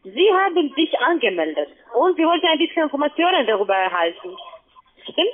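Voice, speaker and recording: High, lively woman on phone. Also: fairly quick. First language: not German. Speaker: call centre sales agent. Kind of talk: halting sales pitch. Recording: narrow, tinny phone line.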